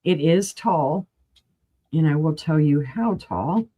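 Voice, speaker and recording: A woman's voice: sits low, expressive, takes it slowly, slightly rough, muffled recording. Chatty woman running an auction. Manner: chatty, enthusiastic, rambling asides. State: losing her voice, clearing throat. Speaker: live auction seller. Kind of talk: live online auction sale.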